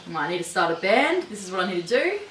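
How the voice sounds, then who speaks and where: A woman, chatting easily about her band in an interview, relaxed and quick to laugh. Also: medium pitch. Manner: easygoing, laughs often. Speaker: band singer and guitarist. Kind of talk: casual interview chat. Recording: echoey room, distant mic, faint noise.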